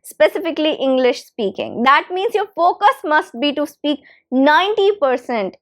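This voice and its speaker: A woman whose first language is Hindi, giving an English lesson with emphatic repetition of key phrases. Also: high-pitched. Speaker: English teacher. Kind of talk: instructional talk to camera.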